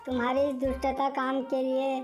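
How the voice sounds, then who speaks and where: A woman's voice: high voice, monotone, normal speed, darkish tone, faint music behind, lightly acted. A woman voicing a small, hardworking female bird in a cartoon moral story, earnest and moralizing. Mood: scolding, pleading. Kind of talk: Hindi animated moral story dialogue.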